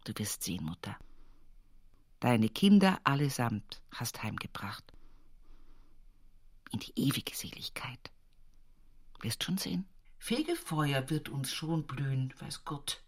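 An actress playing a grieving, devout old country mother in a radio play monologue, plain-spoken and often hushed. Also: extremely low pitch, sing-song, breathy edge, no room sound, exaggerated voice. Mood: hushed, solemn. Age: older. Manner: slow, hushed, often whispering, folksy.

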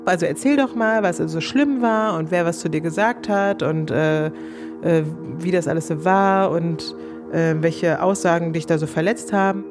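A woman's voice: on the low side, moderate pace, over music. A woman recounting a personal experience in a casual, candid, self-reflective and colloquial way. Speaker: interviewed coaching client telling her experience.

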